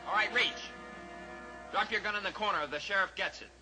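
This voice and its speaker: An actor playing a brash young man breaking jail in a 1950s radio drama, brisk and bossy. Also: sits high, bright, forceful tone. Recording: old radio recording with music.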